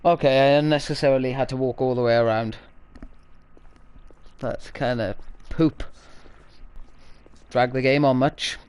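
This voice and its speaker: A man with a mid-pitched voice gives gameplay commentary. He is informal and sweary, reacting off the cuff as host of a gaming video. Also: steady tone, a little slow, long pauses, a little mellow, smooth, dry recording, highs cut off.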